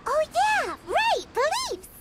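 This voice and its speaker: A woman voicing a tiny, childlike fairy companion in a video game, squeaky, chirpy and curious, with music behind her. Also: wildly swooping pitch, takes it slowly, full character voice. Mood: thoughtful. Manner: speaks of herself in third person, inquisitive. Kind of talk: English video game voice acting.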